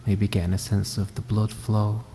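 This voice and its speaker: Soft, low-voiced man guiding meditation. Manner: calm, gentle, unhurried with long pauses. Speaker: meditation teacher. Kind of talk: guided body scan meditation. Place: indoor room at a wellness centre. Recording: reverberant hall, faint background noise.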